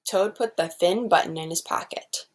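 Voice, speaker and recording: A woman's voice: lowish voice, moderate pace. A woman teacher explaining things simply to young children and reading a storybook aloud.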